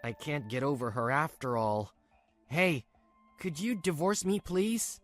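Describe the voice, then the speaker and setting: A man's voice: a little high, unhurried, a bit bright. Lovestruck, self-centred young husband played by a man, whiny and earnest manga-dub dialogue, faint music underneath. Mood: lovestruck, pleading. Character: dreamy, selfish younger husband, lovestruck and pleading.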